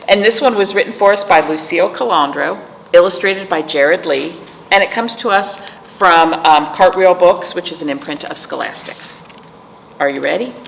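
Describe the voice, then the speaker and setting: A woman's voice: fairly low pitch, a bit bright. A woman hosting storytime for young children, reading aloud expressively in a sing-song way and putting questions to her listeners. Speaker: children's librarian, storytime host. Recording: echoey reverberant room, clear background noise.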